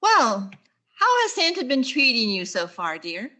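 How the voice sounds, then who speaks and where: A woman playing a wry schoolteacher with quick deadpan asides in a vintage radio sitcom style. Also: a little high, exaggerated voice. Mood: wry, friendly.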